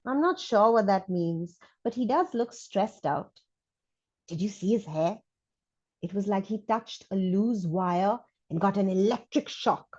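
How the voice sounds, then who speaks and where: A woman voicing a chatty, frank, excitable school child in an animated storybook read-aloud, gushing with dramatic emphasis. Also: medium pitch, measured pace, muffled recording, close to an everyday voice. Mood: confiding.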